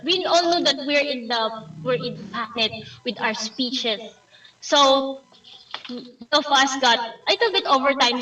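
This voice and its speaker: Playful Filipino woman, high and bright. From Philippines. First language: Tagalog. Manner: playful, theatrical asides. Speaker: meeting timer. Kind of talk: online club meeting report. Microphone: video call. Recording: echoey room, distant mic.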